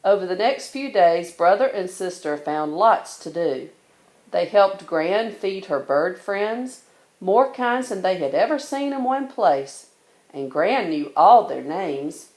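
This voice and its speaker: A woman narrating a children's storybook in an expressive read-aloud style. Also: on the low side, slow pace, reverberant. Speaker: bedtime story reader.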